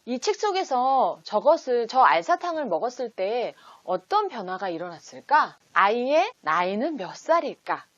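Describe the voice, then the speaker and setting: A woman presents an instructional talk in a fairly high, very animated voice. She explains things in steps and poses sample questions as one would to a child. The sound is close and dry, with little room around it. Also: dry recording, dull audio. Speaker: host teaching parents how to read picture books with children.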